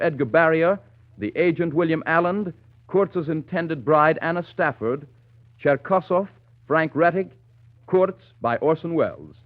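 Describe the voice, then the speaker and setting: A man with an American accent reading formal scripted announcements as a 1930s radio announcer. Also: a little high. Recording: thin, narrow vintage 1930s radio broadcast.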